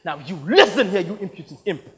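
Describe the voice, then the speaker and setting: A man voicing a vain, hot-tempered actor in a story, pompous and forceful, in a reverberant hall. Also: high-pitched, huge pitch swings, quick pace. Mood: furious, threatening.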